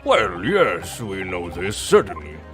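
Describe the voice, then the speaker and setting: A man's voice: sits low, very animated, slow pace, heavily acted. A man voicing a stately, questioning court official from a game with formal, theatrical delivery. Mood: knowing. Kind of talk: dramatic read-aloud of game dialogue. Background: game music.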